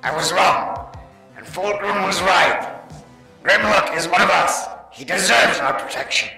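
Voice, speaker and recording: A man's voice: sits high, unhurried, creaky, sharp tone, full character voice. A man playing an earnest, battle-worn robot soldier who argues emphatically in an amateur comic dub. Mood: resolute. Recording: echoey room, faint music behind.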